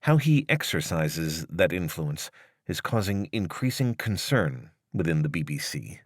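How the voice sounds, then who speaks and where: A man reading a scripted piece of long-form journalism as narrator, in a measured, articulate way. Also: low-pitched, big pitch swings, no echo, clean studio sound.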